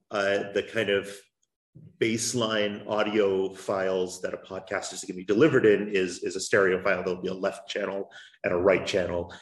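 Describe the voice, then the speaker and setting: A man talking through podcast production at a panel, with many fillers and restarts. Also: on the low side. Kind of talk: panel discussion. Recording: roomy echo.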